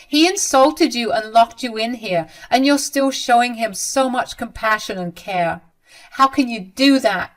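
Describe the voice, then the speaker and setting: A woman's voice: a little high, fairly bright tone. A woman voicing a young bride who is protective and indignant, speaking emphatically. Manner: emphatic and outraged.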